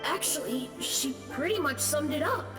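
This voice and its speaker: A timid little ghost boy, gentle and hesitant, always sounding like he is apologizing. His high voice sits over soft game music. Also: lively intonation, normal speed. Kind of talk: video game voice acting. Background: game background music.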